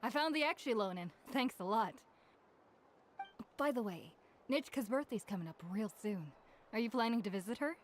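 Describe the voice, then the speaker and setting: A woman's voice: on the high side, animated, normal speed, long pauses, a little hiss or hum, lightly acted. A woman playing a friendly, chatty young villager in a video game, a little scatterbrained and hesitant. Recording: game music behind the voice.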